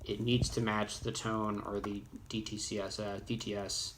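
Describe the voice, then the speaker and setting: A man presenting an informal, off-the-cuff software tutorial, halting and rambling as he thinks aloud. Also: on the low side, little pitch movement. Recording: clearly noisy, with keyboard clicks.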